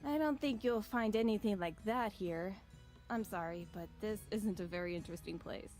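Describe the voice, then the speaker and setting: A woman's voice: medium pitch, moderate pace, a little mellow, soft background music, natural voice. Meek, hesitant village girl, voiced by a woman. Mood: evasive, apologetic. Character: shy, embarrassed village girl, evasive and apologetic. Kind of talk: visual novel voice acting.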